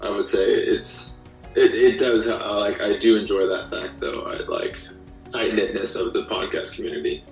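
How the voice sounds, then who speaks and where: A man being interviewed as a podcast guest, chatting casually in a hesitant, halting way. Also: lowish voice, animated, vocal fry, faint music behind. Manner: hesitant, halting delivery with frequent hedging. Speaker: podcast guest, musician and creator of an audio drama. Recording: roomy echo, distant from the mic.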